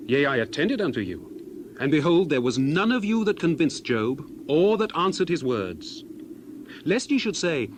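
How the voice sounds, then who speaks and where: A man's voice: mid-range voice, background noise. A man playing an indignant, earnest young man in dramatized scripture, speaking in emphatic, oratorical archaic phrases. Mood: earnest, restrained indignation.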